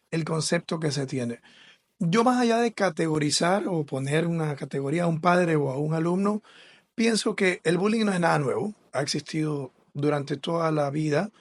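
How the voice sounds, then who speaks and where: Earnest Ecuadorian man, fairly high voice. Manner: earnest, explanatory, with fillers and restarts. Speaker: school administrator. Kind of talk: podcast interview.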